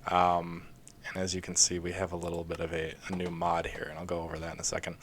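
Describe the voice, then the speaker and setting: A man's voice: sits low, completely flat delivery, fast. A young man talking casually over a game he is playing, rambling with many fillers, his throat scratchy from a recent illness and breaking into coughs. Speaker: gaming video creator. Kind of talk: casual let's play commentary.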